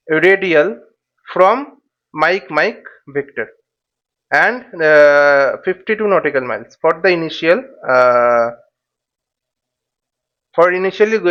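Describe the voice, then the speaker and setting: A man giving an explanatory tutorial as an instructor, thinking aloud in a halting, repetitive way and often checking in with short tags. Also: sits high, highs cut off. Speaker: flight simulation instructor.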